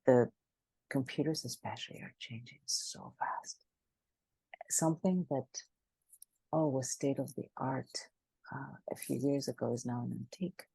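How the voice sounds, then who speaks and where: A woman speaking as the expert guest in an interview, animated and emphatic, often restarting her sentences. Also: extremely low pitch, a little slow, gravelly, long pauses, breathy edge, dry recording, poor audio. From Greece. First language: Greek. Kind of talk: conversational interview.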